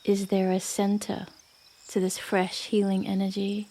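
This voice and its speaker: A woman guiding a yoga nidra meditation in a gentle, unhurried manner with long pauses. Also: medium pitch, monotone. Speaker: meditation guide. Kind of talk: guided yoga nidra meditation, soothing narration.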